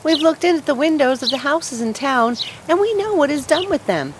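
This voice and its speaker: A woman voicing excited, chattering sparrows in a children's storybook, singsong and gushing. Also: sits high, fairly quick, a little hiss or hum, voice pushed up. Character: excited chattering flock of sparrows, admiring and knowing.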